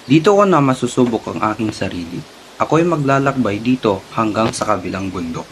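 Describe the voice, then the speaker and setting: A man's voice: on the low side, big pitch swings, brisk, a little hiss or hum, poor audio. A man acting a polite, helpful young traveler in a children's puppet show. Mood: determined.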